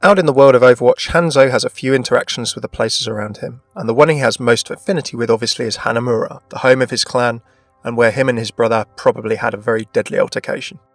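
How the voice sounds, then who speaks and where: A man narrates a scripted gaming video at a quick clip. His mid-pitched voice is recorded very dry, with light music behind it. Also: no room sound. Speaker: video presenter. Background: background music.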